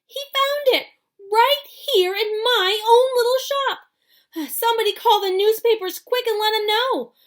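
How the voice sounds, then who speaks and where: A woman voicing a fat male shopkeeper in a storybook reading, who goes from pleasant to wildly excited and yelling. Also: mid-range voice, normal speed, few pauses. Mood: frantic. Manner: shrieking, yelling when excited.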